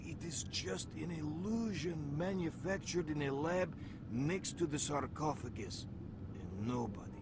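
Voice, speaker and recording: An actor playing an urgent, loyal comrade in a video game, talking in an earnest, insistent, gravelly voice. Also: male voice, expressive, unhurried, noisy background. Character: urgent, loyal male comrade briefing his friend. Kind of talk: video game cutscene acting. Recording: loud rumbling ambience behind the voice.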